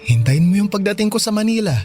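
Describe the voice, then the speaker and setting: A smitten young suitor from the provinces in a radio drama. His voice sits slightly high for a man, bashful and halting, earnest and tender, with faint music underneath. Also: animated, a little mellow. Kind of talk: Tagalog radio drama acting. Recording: music playing behind the voice.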